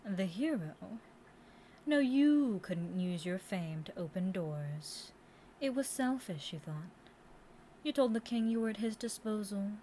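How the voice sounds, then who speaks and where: A woman's voice: mid-range voice, a little mellow, a little hiss or hum, close to an everyday voice. A woman playing a seductive, condescending immortal Demon Queen in a theatrical, taunting audio roleplay monologue.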